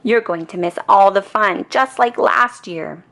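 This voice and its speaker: A woman's voice: medium pitch, vocal fry, fairly bright tone. A woman voicing a bossy, exasperated young girl, a scornful big sister in a children's storybook. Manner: bossy, emphatic, drawn-out scorn. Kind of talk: children's storybook read-aloud.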